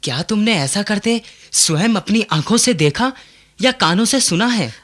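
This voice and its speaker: An actor playing a concerned, sensible young friend who questions and counsels in earnest, formal diction in a radio play. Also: male voice, high voice, lively intonation, moderate pace, fairly bright tone. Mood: puzzled, questioning. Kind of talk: Hindi radio play acting.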